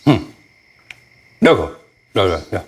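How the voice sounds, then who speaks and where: An actor playing a brothel assistant in a TV crime drama, persuasive and businesslike. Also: male voice, on the low side, big pitch swings, takes it slowly, slightly rough, full character voice. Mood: coaxing. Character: hustling male brothel assistant. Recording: heavy background noise with clicks.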